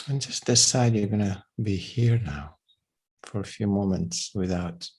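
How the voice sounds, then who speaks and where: A man leading a guided meditation in a gentle, unhurried way, with long pauses between phrases. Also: lowish voice, slightly rough.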